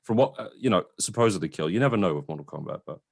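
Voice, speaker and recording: Male film review co-host, deep and highly expressive, chatty, informal delivery with loose qualifying asides. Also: racing, vocal fry. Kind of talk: casual conversational film discussion.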